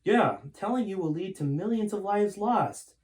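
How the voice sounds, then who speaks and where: The blunt, serious son of a storybook hero, acted by a male reader. He talks casually, with his pitch lifted. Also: animated, normal speed, darkish tone. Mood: indignant.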